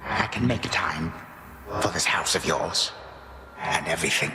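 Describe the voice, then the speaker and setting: A man playing an ancient, weary titan of time, a proud grandfather speaking in measured, grandiose phrases. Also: a bass voice. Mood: subdued, conciliatory. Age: older. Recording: long hall reverb.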